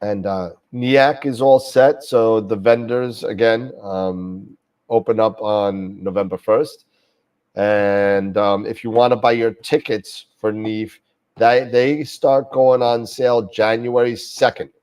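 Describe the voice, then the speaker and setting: Casual male host, low voice, halting delivery, nursing a cold, mic set back. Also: fairly even intonation, darkish tone, smooth, distant mic. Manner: halting, many fillers and restarts. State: getting over a cold, sniffling. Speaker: host of astronomy live show. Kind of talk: casual live-stream chat.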